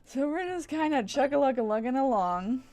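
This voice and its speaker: A woman's voice: high voice, lively intonation, normal speed, dark tone, no echo, faint music behind. A woman chatting casually on a gaming livestream, rambling, interrupting herself and swearing now and then. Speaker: game streamer. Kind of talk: casual livestream gameplay chat.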